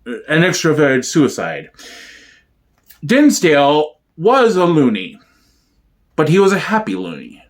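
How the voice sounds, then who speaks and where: A man playing a halting, ponderous apologist who muses on a gangster in a comedy sketch. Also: on the high side, wildly swooping pitch, exaggerated voice. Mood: musing. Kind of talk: comedy sketch dramatic reading.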